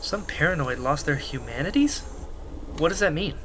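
An actor playing a naive, gentle young medic in a sci-fi radio drama, full of innocent, curious questions. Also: mid-range voice, lively intonation, normal speed, a little hiss or hum, lightly acted, rough recording. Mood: anxious, surprised.

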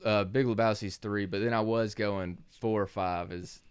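Brief: host, late 20s, very low smooth voice, hesitant and halting, dry sound. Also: male voice, fairly even intonation, no room sound. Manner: hesitant, restarts sentences. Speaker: podcast host. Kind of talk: casual podcast chat.

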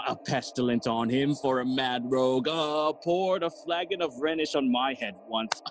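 An actor playing a jolly, cheeky gravedigger who riddles and teases in a theatrical bilingual Spanish-English Shakespeare audio play. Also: male voice, mid-range voice, measured pace, lightly acted. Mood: amused, exclaiming. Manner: teasing, sighing and chuckling, theatrical.

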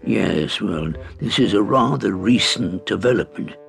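A male reader puts on a stuffy old professor turned into a tiny bug, all bluster and stammer. His voice leaps high and dances around, with a gravelly edge underneath. He is prim, excitable and easily offended, in the manner of a storybook audiobook. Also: a little slow, exaggerated voice. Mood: flustered. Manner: pompous, stammering, indignant. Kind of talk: audiobook character reading.

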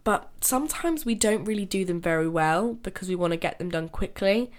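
A woman's voice: medium pitch, animated, a little fast. A young woman hosting her own podcast, sounding nasal because she is ill, chatting casually, laughing often and restarting sentences. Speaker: podcast host. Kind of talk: casual solo podcast talk.

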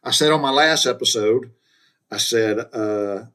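A man's voice: medium pitch, unhurried, fairly bright tone. A middle-aged man, hosting a podcast and telling childhood stories in a rambling, folksy way with chuckles and mild cursing. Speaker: podcast host. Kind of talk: casual storytelling monologue.

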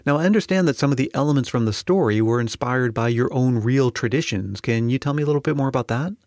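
A man's voice: medium pitch, quick pace, darkish tone, no room sound, clean studio sound. A man hosting an interview, asking prepared questions in an articulate, orderly way. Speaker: podcast host, interviewer.

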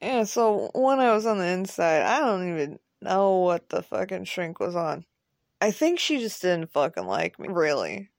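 A woman's voice: fairly low pitch, very animated, normal speed, dry recording, studio quality. A woman voicing a brash, streetwise male ex-convict with a blunt, slangy, aggrieved manner in an audio drama. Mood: wry, puzzled.

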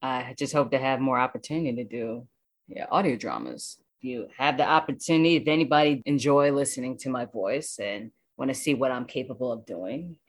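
Low-voiced, chatty American woman. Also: fairly quick. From Washington DC area, United States. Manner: enthusiastic, rambling with repeated phrases. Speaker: voice actor, interview guest. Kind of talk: casual interview chat.

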